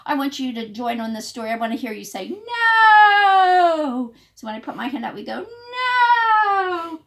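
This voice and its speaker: A woman reading a picture book aloud to young children as a teacher, expressive and pausing to explain things. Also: a little high, creaky. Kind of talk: animated read-aloud storytelling.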